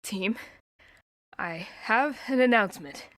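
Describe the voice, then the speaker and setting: A woman's voice: fairly high pitch, animated, slow, a bit bright, no echo, low-quality recording. A woman voicing an earnest young man who speaks in a formal, proud way.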